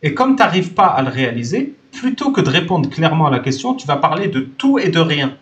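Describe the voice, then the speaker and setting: A man runs a live debate in a blunt, hammering way. His voice sits fairly high and leaps up and down with lively swings. He presses every point with emphatic force. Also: a little fast. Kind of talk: heated live-stream debate.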